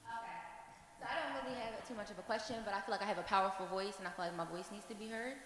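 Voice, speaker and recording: Bright-voiced woman in an echoey hall. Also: mid-range voice, rapid-fire. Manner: heartfelt, searching for words, many fillers. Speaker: audience member speaking at a panel. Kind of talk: impassioned rambling comment. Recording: echoey hall with long reverb.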